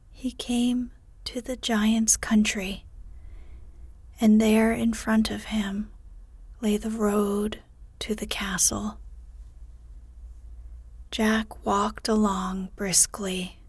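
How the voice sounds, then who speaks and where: A woman's voice: mid-range voice, slightly rough, dry recording. Woman whispering a fairy tale. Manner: soft unvoiced whisper throughout. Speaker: storyteller.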